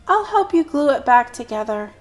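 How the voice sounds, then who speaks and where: A woman's voice: high voice, sing-song, normal speed, soft background music, voice pushed up. A woman reading a storybook and voicing a sleepy, impulsive young girl who gets flustered and apologetic. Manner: breathless exclamations, later apologetic.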